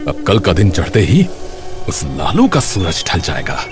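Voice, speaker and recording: A man plays a jealous village shopkeeper in an animated moral tale. He grumbles and schemes, swinging widely in pitch. Music plays clearly behind him. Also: measured pace, full character voice. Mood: sly, muttering. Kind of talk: Hindi animated moral story voice acting.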